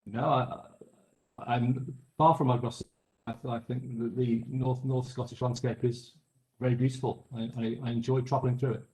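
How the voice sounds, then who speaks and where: A man from England giving measured expert testimony at an inquiry, often restarting his sentences. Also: mid-range voice, flat delivery, a little mellow, roomy sound, rough recording. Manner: measured, hesitant with frequent restarts. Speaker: expert witness.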